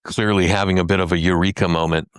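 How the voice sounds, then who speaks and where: A man's voice: sits low, level tone, quick pace, smooth, no room sound, studio quality. A man talking casually as a panelist on a podcast. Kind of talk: casual discussion.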